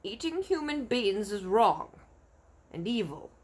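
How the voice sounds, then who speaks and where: A woman's voice: mid-range voice, sing-song, slow, a little mellow, pitched above the performer's own voice. A woman voicing a kindly, innocent male giant in a storybook, earnest and dignified with muddled grammar. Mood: dignified, reproachful.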